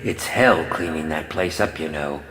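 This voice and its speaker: An actor playing a sadistic, theatrical horror film director in a video game, with flamboyant delivery and gleeful menace. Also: male voice, very deep voice, moderate pace, a bit bright. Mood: menacing, mocking. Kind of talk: video game villain voice acting. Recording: echoing long reverb, music behind.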